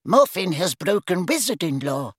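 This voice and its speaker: A man's voice: sits high, very animated, no echo, clean studio sound, pitched above the performer's own voice. Guy doing a stuffy polite government man, stern and proper, very up-and-down intonation, audiobook character. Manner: formal, measured, stern. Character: polite, firm, pompous male ministry official.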